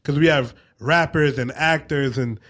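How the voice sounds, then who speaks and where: A young man, chatting casually on radio in a slangy, expressive, emphatic way. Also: fairly high pitch, a little slow. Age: young adult.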